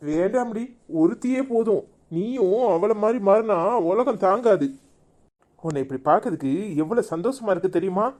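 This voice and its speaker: A woman's voice: medium pitch, lively intonation, moderate pace, a little mellow, natural voice. A woman voicing a doting, exasperated village husband in a cartoon comedy, with a coaxing, colloquial Kanyakumari Tamil accent.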